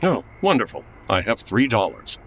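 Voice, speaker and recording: Comedy radio drama: anxious, grumbling 1940s announcer man, low-pitched and hugely animated, over clear noise. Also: moderate pace, heavily acted. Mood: exasperated, bewildered. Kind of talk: comedy audio drama in old-time radio manner.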